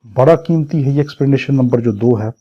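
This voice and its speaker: A man's voice: medium pitch, fairly quick, mellow, dull audio. A man with a Pakistani accent lecturing for a law exam, reading clauses and explaining them in a didactic, repetitive, question-and-answer way.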